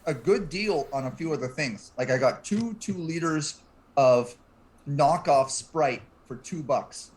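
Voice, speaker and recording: A man's voice: fairly high pitch, a little slow, darkish tone. A man talking casually as a guest on a panel show. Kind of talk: casual chat.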